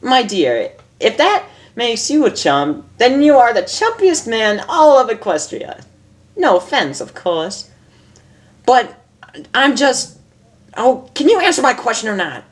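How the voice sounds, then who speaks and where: Girl doing a fancy dramatic lady unicorn for a story, high voice that swoops all over. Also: big pitch swings. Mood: flustered. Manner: dramatic, affected, drawn-out exclamations. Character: elegant, theatrical, prim young female unicorn dressmaker.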